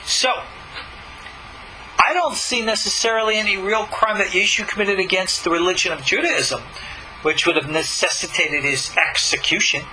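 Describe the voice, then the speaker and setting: A man's voice: sits high, normal speed, pushed and bright, a little hiss or hum, low-quality recording. A man speaking as a rabbi and teacher, giving emphatic, argumentative scripture commentary full of rhetorical questions.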